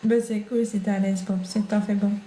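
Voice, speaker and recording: A woman's voice: medium pitch, level tone, brisk, mellow, a little hiss or hum, low-quality recording. A woman with a foreign accent hosting a casual live shopping stream, chatting with viewers she calls family and reading their comments aloud. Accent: non-French accent. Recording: praise music playing behind.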